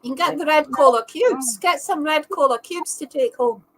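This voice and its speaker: A woman's voice: sits high, normal speed, a bit bright. A woman joining a casual podcast call, giving short, dry remarks. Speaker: podcast guest. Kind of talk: casual chat on a video call.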